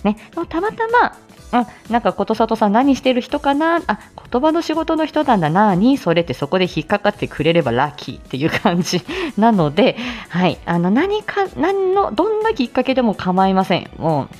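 A middle-aged woman hosts a casual live audio chat. Her pitch moves in a lively way as she makes polite, giggly announcements straight to her listeners. Faint music plays behind her. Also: fairly quick. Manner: chatty, giggly, polite, speaks to listeners by turns. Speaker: host of a live audio show; speech-language therapist.

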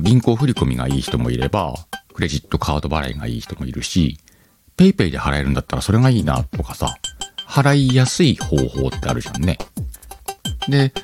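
A man hosting a casual solo podcast, thinking aloud as he talks directly to his listeners. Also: very deep voice. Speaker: podcast host. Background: background music.

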